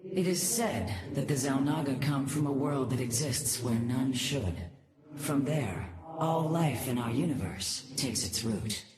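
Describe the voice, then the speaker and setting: A performer voicing a grave, wise alien of an ancient race in a video game, formal and measured. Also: medium pitch, lively intonation, over a noisy crowd, natural voice. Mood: solemn. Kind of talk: video game cutscene acting.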